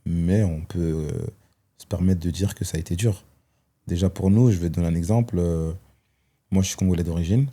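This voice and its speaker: Deep-voiced man, reflective. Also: fairly quick, warm tone. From Belgium. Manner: reflective, hesitant with many fillers. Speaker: guest. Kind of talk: personal testimony in conversation.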